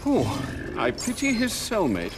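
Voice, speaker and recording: Wry butler, very lilting. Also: male voice, high-pitched, wildly swooping pitch, measured pace, full character voice. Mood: wry concern. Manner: dry, wry, formally polite. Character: dry, dutiful older male butler. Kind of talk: video game cinematic acting. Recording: music behind.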